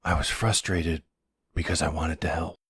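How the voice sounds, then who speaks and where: A man playing a gentle, worried boyfriend in an intimate roleplay audio drama, soothing and reassuring with a hesitant delivery. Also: fairly even intonation, moderate pace, no room sound, clean studio sound, heavily acted. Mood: caring, reassuring. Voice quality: low, husky voice.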